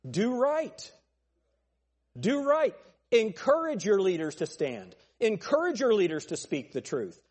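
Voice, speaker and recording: A male pastor preaching a fiery sermon with a thin, nasal voice, pressing his points with forceful, repeated phrases. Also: high voice, sing-song, unhurried, slightly rough, big-room sound. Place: church sanctuary.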